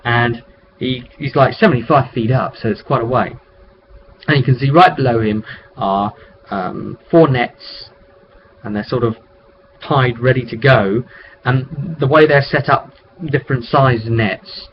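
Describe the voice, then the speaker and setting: A man with an average-pitched voice talks through a roleplaying session as its game master. His delivery is unscripted, conversational and rambling. The sound is a muffled webcam recording with faint noise behind it. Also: measured pace. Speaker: tabletop game master hosting a session recap vidcast. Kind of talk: casual off-the-cuff storytelling recap of a roleplay session. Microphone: webcam microphone. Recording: dull lo-fi webcam audio with noise.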